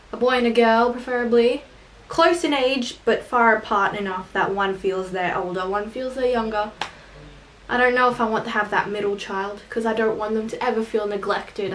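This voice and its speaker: A woman's voice: a little high, fairly bright tone, slight background noise, poor audio. A young woman with an Australian accent talks casually to her camera. Her chatter rambles from point to point, and she pokes fun at herself along the way. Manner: chatty and rambling, self-deprecating jokes. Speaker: vlogger. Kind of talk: casual tag video answering questions.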